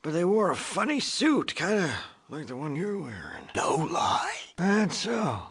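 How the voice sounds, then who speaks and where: Very raspy, cantankerous old ghoul. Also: male voice, high voice, big pitch swings, measured pace, a bit bright, heavily acted. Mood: wry, joking. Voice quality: raspy, gravelly, wheezy with a cough. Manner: folksy, crotchety drawl with colorful insults. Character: cantankerous, wisecracking old male ghoul, an irradiated undead survivor. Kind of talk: video game character acting.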